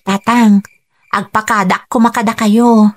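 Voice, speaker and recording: A woman's voice: medium pitch, flat delivery, measured pace, lightly acted. A woman playing an earnest, determined young daughter in a Philippine radio drama, speaking persuasively over music. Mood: respectful.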